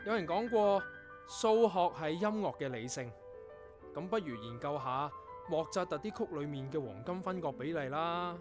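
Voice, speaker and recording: A man acting in a Cantonese radio drama as an earnest, proud young composer, telling things in a reflective, measured way. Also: on the high side, animated, music in the background, lightly acted. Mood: agitated. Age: young adult.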